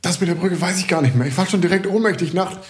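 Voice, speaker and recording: A man's voice: sits high, fast talker, voice pushed up, lightly acted. A man voicing a frail, bewildered old grandfather in an improvised comedy sketch, asking plaintive questions. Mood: confused. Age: older. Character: frail confused old grandfather in a hospital bed.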